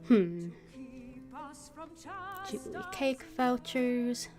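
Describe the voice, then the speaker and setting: A woman's voice: a little high, sing-song, extremely slow. A woman streaming a video game, reading game text aloud and voicing her thoughts as she plays. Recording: game music behind the voice. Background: video game music.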